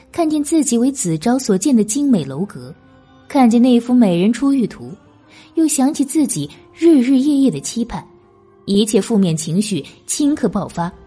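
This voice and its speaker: A woman narrating a historical novel as an audiobook, in an expressive, literary storytelling manner. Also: a little high, husky.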